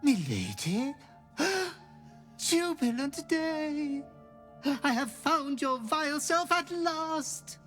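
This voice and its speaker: A man's voice: very high voice, sing-song, drawn-out words, bright, forceful tone, soft background music, exaggerated voice. An actor playing an obsequious demon butler who gleefully fawns over his murderous master in a video game. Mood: gleeful fawning. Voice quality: fawning, gleefully ghoulish.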